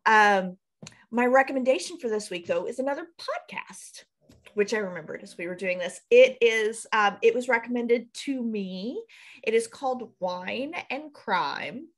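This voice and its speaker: A woman's voice: fairly high pitch, animated, measured pace. A woman from Nebraska with a Nebraskan accent, co-hosting a podcast in casual, rambling chat over a webcam from a room at home. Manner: rambling, self-deprecating, laughs at herself. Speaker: podcast co-host. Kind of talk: casual chat on a video call. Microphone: video call webcam.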